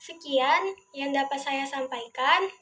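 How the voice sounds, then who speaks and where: A woman's voice: high-pitched, takes it slowly, fairly bright tone. A young woman from Indonesia, a university student, giving a prepared academic presentation in a formal, recited manner. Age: young adult. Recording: clearly noisy amateur recording.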